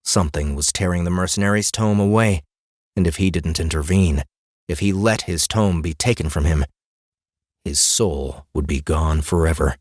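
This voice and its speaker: Male fantasy audiobook narrator, deep register, dramatic phrasing, very dry studio sound. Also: a little mellow, no echo. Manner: deliberate dramatic phrasing with pauses.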